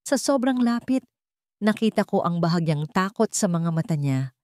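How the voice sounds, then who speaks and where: A woman's voice: on the low side, animated, moderate pace, a little mellow, dry recording, studio quality. A woman giving dramatic scripted narration of a romance story.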